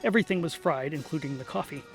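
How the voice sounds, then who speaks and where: A man's voice: a little high, expressive, quick pace, creaky. A man narrating a scripted comic fantasy story, with music behind him. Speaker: audiobook narrator. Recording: music under the voice.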